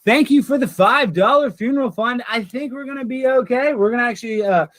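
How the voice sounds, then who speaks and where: A man's voice: very high voice, brisk, fairly bright tone, dry recording. A man hosting a livestream, chatting with his viewers in a goofy, rambling way. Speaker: livestream host. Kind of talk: casual livestream chat.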